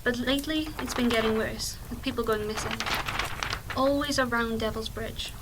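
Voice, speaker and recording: A determined local woman, played by an actress in an audio drama. She is matter-of-fact and high in pitch, heard at some distance with clear background noise. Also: steady tone, normal speed, lightly acted. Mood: grave, insistent. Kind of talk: fan audio drama acting.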